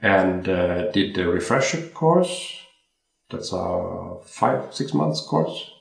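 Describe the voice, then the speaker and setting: A young Swedish man who works as a watchmaker, chatting in a relaxed, hesitant way and often repeating words as he recalls his training and jobs. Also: sits low, slow, gravelly. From Sweden. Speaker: watchmaker guest. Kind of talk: casual interview chat. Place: room in a home. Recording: roomy home interview.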